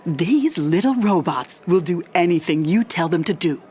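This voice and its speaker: A woman playing a small, idealistic scientist proudly pitching an invention, earnest and enthusiastic, in an audio drama. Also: lively intonation, normal speed, darkish tone, husky. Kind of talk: scripted audio drama acting, pitch speech.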